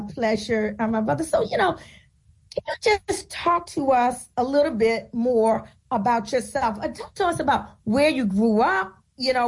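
A woman's voice: sits high, poor audio. A woman hosting a radio talk show, introducing her program and guest with emphatic, declamatory delivery.

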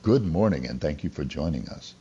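Deep, mellow, husky male radio host. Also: expressive, brisk, muffled recording, slight background noise. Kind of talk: live radio interview hosting.

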